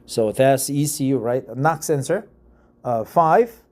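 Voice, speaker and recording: Man with Korean accent, 50s, reading aloud, dark warm tone, hesitant, fillers. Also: medium pitch, lively intonation. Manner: halting, many fillers and restarts, asks "right?". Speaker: YouTube reader of articles. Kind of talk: reading an article aloud with commentary.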